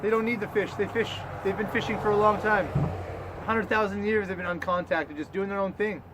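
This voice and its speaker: A man with a slightly high voice hosts a loose comedy news show. He talks in a mock-serious, profane way. Faint background noise sits behind him in an amateur recording. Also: fairly even intonation, fairly quick.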